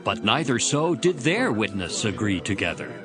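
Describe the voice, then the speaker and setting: A man's voice: on the low side, sing-song, moderate pace, music in the background. A man narrating dramatized scripture as a storyteller between the character parts.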